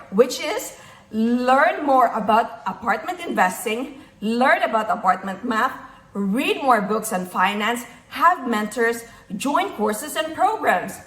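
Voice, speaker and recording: High-pitched Filipino woman, echoey room. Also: a bit bright. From Philippines. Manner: emphatic, repeats key phrases for effect. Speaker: motivational speaker, physician and investor. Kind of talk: motivational talk with numbered points.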